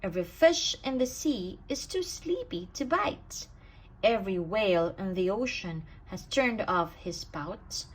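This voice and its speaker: A woman reading a rhyming children's bedtime story aloud in an expressive, sing-song way with emphatic stresses. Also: mid-range voice, unhurried, husky, low-quality recording. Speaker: storybook reader.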